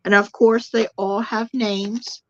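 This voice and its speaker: Animated woman guest, dull dry sound. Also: mid-range voice, slow, no echo. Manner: animated, repeats words for emphasis, speaks to viewers directly. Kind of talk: casual spiritual chat.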